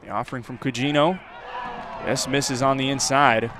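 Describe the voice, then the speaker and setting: A man with an American accent giving live radio play-by-play commentary of a baseball game, measured between plays and louder on the action. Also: mid-range voice, fairly even intonation, noisy background.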